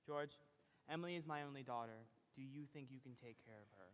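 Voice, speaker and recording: A man's voice: mid-range voice, fast talker, close to an everyday voice. A man playing a soothing father of the bride. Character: calm, gentle father of the bride. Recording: reverberant old film soundtrack with faint hiss.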